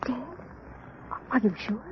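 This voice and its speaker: A woman's voice: slow, lightly acted. An actress playing an anxious, inquisitive young society woman in a 1940s radio crime drama, breathless and refined. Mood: shocked. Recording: muffled, noisy old radio recording.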